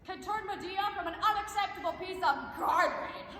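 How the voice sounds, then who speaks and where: Gushing, high-voiced infomercial saleswoman played on stage. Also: normal speed, pushed and bright. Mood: salesy, confiding. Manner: over-enthusiastic, emphatic, salesy. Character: gushing, patriotic infomercial saleswoman pitching a script gadget. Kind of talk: parody infomercial pitch in a stage comedy. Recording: echoey hall, faint background noise.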